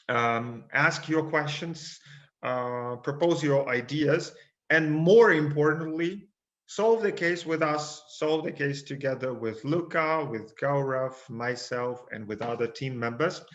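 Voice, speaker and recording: Ukrainian-born male webinar host in his 30s, mid-pitched voice, slightly muffled call audio, filler-heavy delivery. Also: a bit bright. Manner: fillers, restarts, urges viewers to use the chat. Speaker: consulting coach, webinar host. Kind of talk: live webinar presentation.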